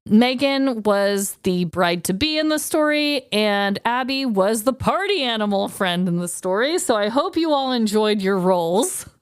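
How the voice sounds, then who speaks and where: A woman's voice: medium pitch, lively intonation, measured pace, clear, resonant tone, dry recording, clean studio sound. A woman co-hosting a podcast in casual, chatty, informal conversation, with a giggly manner. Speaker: podcast co-host. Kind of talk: casual comedy true-crime podcast chat.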